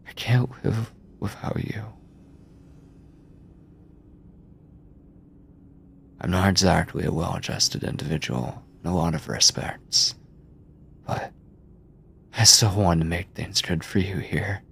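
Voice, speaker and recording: A man playing an obsessive, controlling mad scientist in an ASMR horror roleplay, speaking deliberately with a breathy, clinical yet doting manner, often near a whisper. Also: low-pitched, heavily acted. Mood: tender, confessional. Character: obsessive yandere mad scientist, soft-spoken, controlling male captor.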